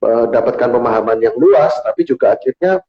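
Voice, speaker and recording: A man's voice: medium pitch, animated, brisk. A man hosting a casual talk, chatty and a bit hesitant, giving examples and asking follow-up questions. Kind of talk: casual talk show chat. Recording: dull, roomy recording.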